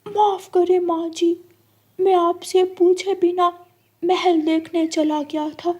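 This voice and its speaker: A woman's voice: very high-pitched, little pitch movement, takes it slowly, a little mellow, voice pushed up. A woman voicing a young, respectful cartoon golden bird speaking apologetically. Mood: apologetic.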